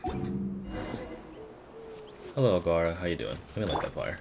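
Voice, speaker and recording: A man with a very deep voice gives casual playthrough commentary. He is playful and profane, muttering reactions with lively swings in pitch over clearly audible game music. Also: moderate pace. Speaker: game streamer.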